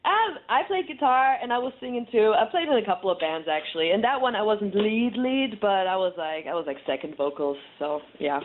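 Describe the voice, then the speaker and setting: A woman, a German native speaker and professional wrestler, chatting as a guest in an animated, playful way with laughs. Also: medium pitch, brisk, hardly any pauses. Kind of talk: casual phone interview. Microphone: phone. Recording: tinny phone line.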